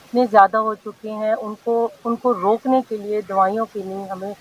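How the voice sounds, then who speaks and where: A woman gives a measured, slightly hesitant public health statement outdoors. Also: mid-range voice, fairly even intonation, a little hiss or hum, rough recording.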